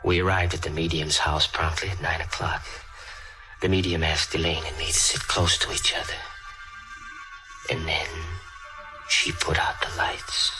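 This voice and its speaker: An actor playing an obsessive, scheming murderer who narrates his own crime in a brooding, deliberate 1940s radio thriller style. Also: a bass voice, flat delivery, heavily acted, poor audio. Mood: tense, menacing. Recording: old radio recording with loud music.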